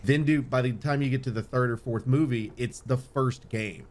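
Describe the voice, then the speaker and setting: A man's voice: medium pitch, normal speed, darkish tone. A man hosting a podcast in casual, opinionated chat, rambling and circling back over his points with casual swearing. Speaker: podcast host.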